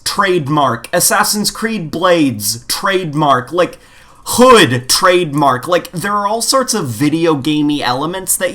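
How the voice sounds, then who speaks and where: Guy podcast cohost just chatting, really animated, voice swings up and down a lot, lots of likes. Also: sits high. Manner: informal, filler-heavy, animated. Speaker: podcast co-host. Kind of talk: casual chat.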